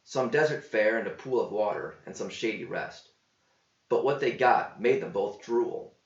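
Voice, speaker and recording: A man reading a verse story aloud as a scripted narration, with measured delivery. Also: lowish voice, animated. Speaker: author reading own verse epic. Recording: roomy, slightly dull home recording.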